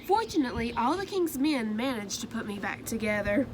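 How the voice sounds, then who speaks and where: A woman reading the part of a timid male egg who tells his own story in soft, earnest first-person storybook narration. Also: a little high, big pitch swings, brisk, noisy background. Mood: wistful.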